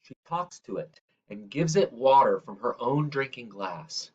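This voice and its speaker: A man reading a children's story aloud in a measured, expressive way, drawing out the ends of phrases. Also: mid-range voice, highs cut off. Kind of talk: read-aloud scripted narration.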